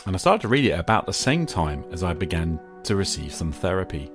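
A man speaking as a podcast host in a reflective scripted monologue, with an earnest, measured manner. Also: low voice, sing-song, music in the background.

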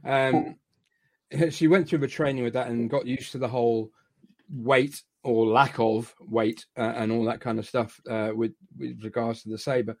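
A man from Scotland chatting as a panelist on a call-in podcast, halting and full of fillers and restarts. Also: mid-range voice, steady tone, a little mellow. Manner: hesitant, many fillers and restarts. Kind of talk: casual fan chat.

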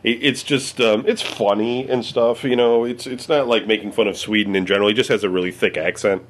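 A man's voice: on the low side, fairly even intonation, a little fast. An American man answering questions in a casual chat, rambling with many fillers and swearing freely. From United States. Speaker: interviewee.

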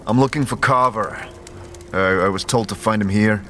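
A man's voice: fairly low pitch, moderate pace, sharp tone, a little hiss or hum. A man playing a guarded, terse wanderer in a fantasy audio drama, asking questions somewhat hesitantly. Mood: guarded, wary. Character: terse, guarded adult male wanderer seeking answers.

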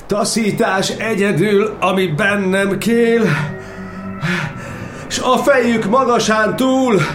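An actor playing a beaten, imprisoned cardinal, lamenting in theatrical, half-sung verse with drawn-out melodic phrasing in a stage-style audio drama. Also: male voice, high voice, steady tone, natural voice. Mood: suffering, defiant. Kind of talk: chanted, sung monodrama verse. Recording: echoey, loud music over the voice.

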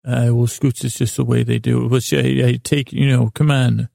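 A man hosting a sleep podcast, rambling through a whimsical bedtime monologue in a halting way, often repeating himself and talking to himself. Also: mid-range voice, little pitch movement, creaky, warm tone, no room sound, studio quality. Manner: halting, meandering, repeats and trails off; whimsical, talks to himself in imagined dialogue. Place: inside a small closet.

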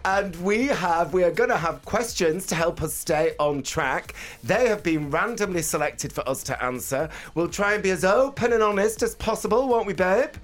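A man from Bolton in England in his forties, co-hosting a casual radio chat show, animated and teasing, laughing often. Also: sits high, normal speed, few pauses. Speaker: co-host.

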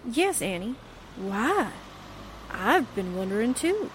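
An actress playing a wisecracking, incredulous newspaper assistant with brassy, slangy manner in a 1940s-style radio drama. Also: female voice, fairly high pitch, sing-song, slow pace, slight background noise. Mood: puzzled, surprised.

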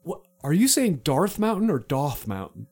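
A man's voice: fairly high pitch, sing-song, moderate pace, a little mellow. A man playing a drunken, dramatic knight whose grand, pompous phrasing keeps slipping into casual slang.

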